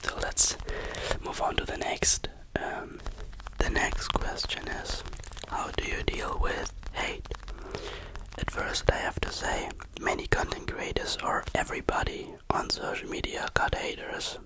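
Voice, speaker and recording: An ASMR creator whispering answers to questions in English, halting and searching for words, close to the microphone. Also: rough recording.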